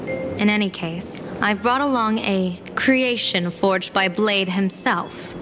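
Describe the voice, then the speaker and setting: A woman playing an enigmatic, playful female general and fortune-teller in a video game, speaking formally in measured, theatrical phrases. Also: mid-range voice, natural voice. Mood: grave. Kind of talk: scripted video game voice acting. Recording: loud music behind the voice. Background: game music.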